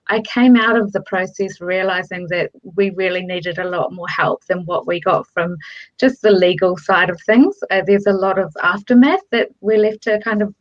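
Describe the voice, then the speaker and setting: A middle-aged woman speaks in a relaxed, unhurried way, often restarting her sentences. Her video call audio sounds dull and lo-fi, and her pitch sits in a middle range. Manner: relaxed, many fillers and restarts. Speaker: divorce and relationship coach. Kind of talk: casual panel talk.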